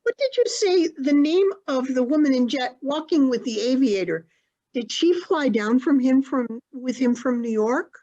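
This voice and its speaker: Woman playing prim older lady, high swooping voice, fussy, proper, stage comedy, dull lo-fi call. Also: expressive, normal speed, warm tone. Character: prim, timid, domestic older society lady. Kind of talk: stage comedy acting over video call. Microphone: video call. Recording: dull, lo-fi video call audio.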